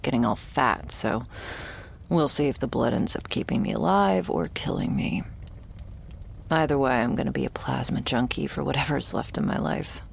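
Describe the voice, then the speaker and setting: Woman playing a jaded, very gravelly female shopkeeper. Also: a little hiss or hum, exaggerated voice. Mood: bitter, deadpan. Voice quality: low, husky, gravelly. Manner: deadpan, sardonic, slow and pausing, swearing casually. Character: jaded, wry, terminally ill woman shopkeeper, a vampire's servant. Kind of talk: intimate ASMR roleplay monologue.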